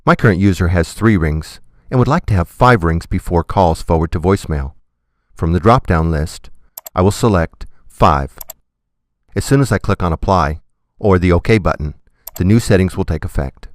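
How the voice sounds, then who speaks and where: A man giving scripted, step-by-step software tutorial narration in a methodical, instructional manner. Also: sits low, normal speed, no echo, studio quality.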